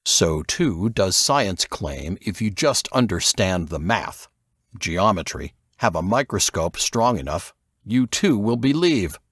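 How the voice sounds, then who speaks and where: A man voicing a grave, assured male investigator who lectures in a measured way, in an audiobook. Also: sits low, sing-song, fairly bright tone, no room sound, studio quality, heavily acted. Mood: assured, didactic. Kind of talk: audiobook character dialogue.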